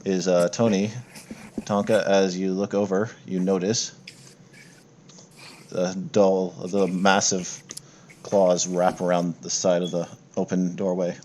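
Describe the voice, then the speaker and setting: A man narrating a tabletop horror game as game master, improvising in a casual, halting, descriptive way. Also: low voice, little pitch movement, a little mellow. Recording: noisy home mic on online game.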